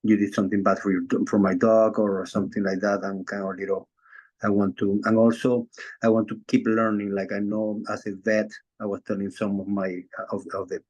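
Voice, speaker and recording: Chiropractor from Venezuela, male; low even voice, hesitant with lots of fillers. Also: fairly quick, poor audio. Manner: hesitant, many fillers and restarts. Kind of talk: casual video call chat. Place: Florida.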